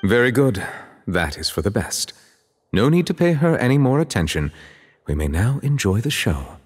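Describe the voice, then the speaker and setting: A man voicing a dignified, reserved chief justice in a video game, speaking with courteous, measured and ornate formality. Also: mid-range voice, big pitch swings, big-room sound, slight background noise, lightly acted. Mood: composed. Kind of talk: video game character acting.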